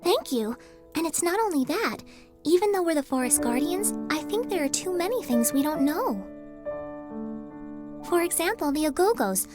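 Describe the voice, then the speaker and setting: A woman's voice: very high-pitched, sing-song, normal speed, music in the background. A woman voicing a polite, studious young girl in a video game, earnest and carefully worded. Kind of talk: English video game dub acting.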